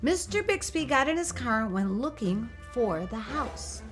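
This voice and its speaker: A woman reading a picture book aloud for children, in an expressive, animated and emphatic storytelling delivery. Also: on the high side, a little slow. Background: music.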